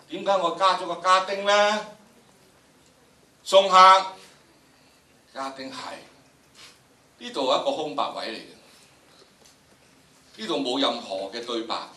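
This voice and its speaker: Bright-voiced older Hong Kong man lecturing, echoey room. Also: mid-range voice, recorded from a distance. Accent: Hong Kong Cantonese. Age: older, about 70s. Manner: theatrical, emphatic, repeats phrases for effect. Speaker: drama teacher, lecturer. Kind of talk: animated classroom lecture to students. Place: large indoor lecture room with audience.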